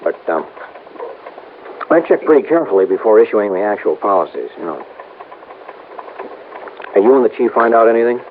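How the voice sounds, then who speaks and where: An old, noisy radio recording with a narrow, tinny band. an earnest small-town insurance man, low-pitched, homespun and hesitant, eager to reassure in vintage radio drama fashion. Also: big pitch swings, normal speed, pauses often. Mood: uneasy, sincere. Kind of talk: 1950s radio drama acting.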